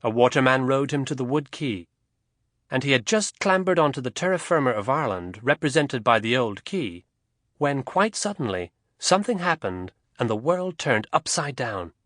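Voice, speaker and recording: A man narrating a historical novel as an audiobook, in a measured, expressive storytelling manner. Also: mid-range voice, no echo, clean studio sound.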